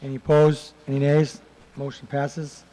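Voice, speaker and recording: A man chairing a city council committee meeting, calling on members and moving through votes in a halting, procedural way. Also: mid-range voice, monotone, dark tone, long reverb tail, rough recording. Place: council chamber.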